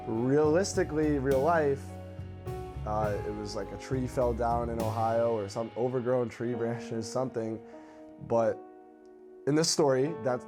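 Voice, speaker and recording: Casual man hosting horror commentary over music. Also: mid-range voice. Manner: conversational, informal asides. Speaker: host of a horror video channel. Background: background music with guitar.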